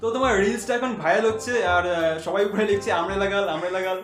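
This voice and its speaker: A young man hosts a comedy roast in a high voice, rattling along at breakneck speed. The sound is roomy and echoing, with music playing behind him. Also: fast. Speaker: host of a comedy roast show. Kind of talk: energetic scripted roast commentary.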